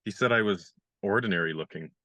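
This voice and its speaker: An actor in a radio play playing a young gentleman disguised as a valet, speaking in a dry, good-humored, polite way. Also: low voice, fairly quick, dry recording. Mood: wry.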